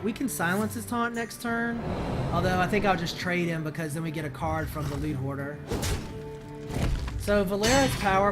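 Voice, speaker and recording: A hoarse man with a voice that is not deep, commenting on his card game as he plays and thinking aloud with many ums and restarts. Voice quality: voice not very deep, sounds high-pitched. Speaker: streamer playing a card game. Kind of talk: casual gameplay commentary.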